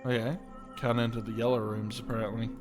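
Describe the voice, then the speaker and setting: Guy livestreaming a game, medium pitch, rambly and low-key, creepy ambient music clearly behind him. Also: flat delivery, a little fast. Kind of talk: casual game commentary and reading on-screen text aloud.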